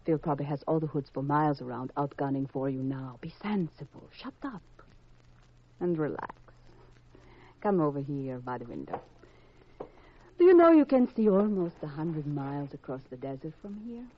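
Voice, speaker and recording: An actress playing a flirtatious, worldly and evasive femme fatale in a 1950s radio crime drama, coy and teasing, with deliberate hesitations. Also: female voice, low voice, animated, a little hiss or hum. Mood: coaxing. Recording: dull vintage radio recording.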